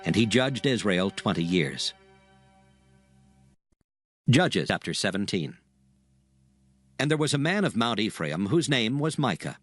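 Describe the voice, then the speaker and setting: A man narrating dramatized scripture in a solemn, measured storytelling manner. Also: fairly low pitch, very animated, soft background music.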